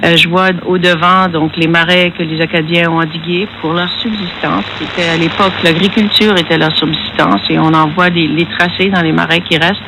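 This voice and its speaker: High-pitched, creaky-voiced man reflecting. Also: level tone, fast talker, few pauses. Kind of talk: reflective interview talk. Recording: clear background noise.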